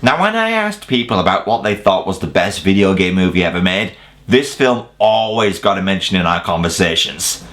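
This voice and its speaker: A man's voice: sits low, big pitch swings, moderate pace, a bit bright, faint music behind. A man presenting an opinionated scripted video review in a blunt, wry, sweary way. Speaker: video reviewer presenting a top ten list.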